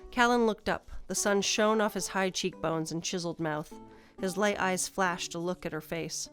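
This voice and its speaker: Woman, lowish pitch, scripted fantasy storytelling, faint plucked guitar music behind. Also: normal speed. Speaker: narrator.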